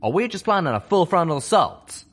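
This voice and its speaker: Jovial pegasus stallion, man reading, loud, high-ish. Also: big pitch swings, fairly quick, full character voice. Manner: folksy, hearty. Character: drawling young male pegasus soldier, jovial.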